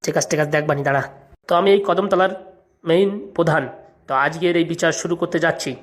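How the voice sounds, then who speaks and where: A woman's voice: sits low, fast, close to an everyday voice. A woman playing a self-important, corrupt older male village headman in a comic cartoon, with a rural Bengali accent. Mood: pompous.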